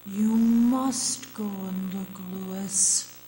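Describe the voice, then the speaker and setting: A woman's voice: medium pitch, fairly even intonation, very slow, mellow. A woman playing the solemn, insistent ghost of a murder victim, intoning in a theatrical radio drama. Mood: insistent, grave. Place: performing arts center stage. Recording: echoey live stage, clear noise.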